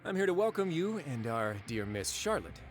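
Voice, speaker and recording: A man playing a confident, dryly courteous duke in an anime game, smooth in manner. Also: a little high, sing-song, moderate pace. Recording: game music behind.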